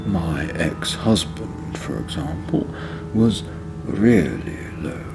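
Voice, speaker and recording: A man reading literary fiction as a disconsolate, dissolute old drinker, halting and deliberate, with a gravelly, breathy edge. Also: on the low side, full character voice. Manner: slow, halting, deliberate with long pauses. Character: disconsolate, dissolute, low-living old village drinker narrating. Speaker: author reading own short story. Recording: clear outdoor ambience and vehicle noise. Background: field recordings of ambience and vehicles.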